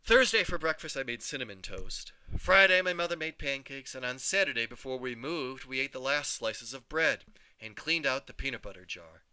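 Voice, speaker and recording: Animated man reading a story aloud. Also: mid-range voice, moderate pace, smooth, no echo, poor audio. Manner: animated, voices each character. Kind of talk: expressive read-aloud storytelling.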